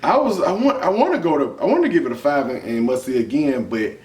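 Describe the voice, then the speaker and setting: A man's voice: fairly high pitch, expressive, fast talker, darkish tone. American man chatting in an echoey room. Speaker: vlog co-host and movie reviewer. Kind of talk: casual conversational review. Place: indoor room against a plain wall. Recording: echoey room, off camera mic.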